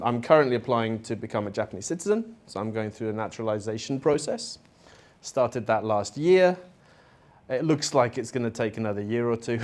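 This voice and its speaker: A middle-aged man speaks at a conference with an easygoing, conversational delivery. His pitch is neither high nor low, and he leans on fillers and friendly asides as he talks. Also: a little mellow. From Spain. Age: 40s. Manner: casual and chatty, with fillers and asides. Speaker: conference presenter. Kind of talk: conference talk. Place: conference venue.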